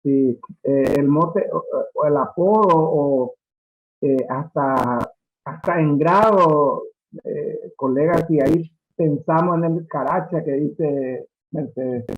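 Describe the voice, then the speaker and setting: A man explaining ideas in a seminar, speaking haltingly with many drawn-out fillers. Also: fairly high pitch. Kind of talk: seminar discussion. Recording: dull lo-fi audio.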